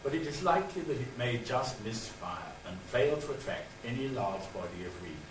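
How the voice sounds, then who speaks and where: A man's voice: medium pitch, expressive, fairly quick. Englishman reading expressively in an echoey hall. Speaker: reader of publishers' letters. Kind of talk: formal reading aloud of letters. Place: conference hall. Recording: echoey room, distant mic, loud noise.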